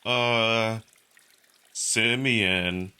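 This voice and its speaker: A man's voice: lowish voice, fairly even intonation, extremely slow, pushed and bright, clear, resonant tone, full character voice. A man voicing a hesitant, guarded young human male with a defensive way of speaking in a visual novel. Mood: uneasy.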